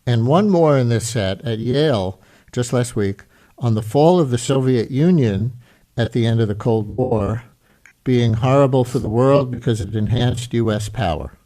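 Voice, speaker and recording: Measured male radio host. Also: medium pitch, dark tone, poor audio. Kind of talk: public radio segment narration.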